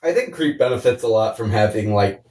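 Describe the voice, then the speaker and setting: A man's voice: on the low side, expressive, brisk, darkish tone. Man chatting casually in a roomy space. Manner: rambling, profane. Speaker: podcast co-host. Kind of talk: casual comedic chat. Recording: roomy, distant microphone.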